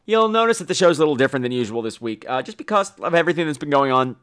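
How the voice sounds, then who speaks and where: A man's voice: fairly high pitch, lively intonation, very fast, a bit bright, clean tone. A man hosting a podcast solo in casual, rambling talk, with a campy, self-mocking, bawdy manner. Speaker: podcast host.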